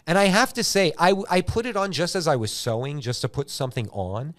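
A man's voice: on the high side, a little fast, dry recording. Slangy man rambling casually, dry close sound. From Iran. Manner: animated slangy storytelling with frequent "like" and swearing. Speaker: host of a YouTube show. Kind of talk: casual rambling movie chat.

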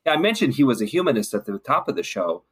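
Male guest, medium pitch, husky grain, earnest and reflective conversational delivery. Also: very animated, quick pace. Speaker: podcast guest.

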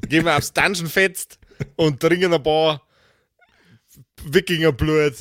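A man's voice: fairly high pitch, fairly even intonation, unhurried, a bit bright, no room sound, clean studio sound. A man playing in an improvised tabletop role-playing game, chatting casually in a joking, colloquial way.

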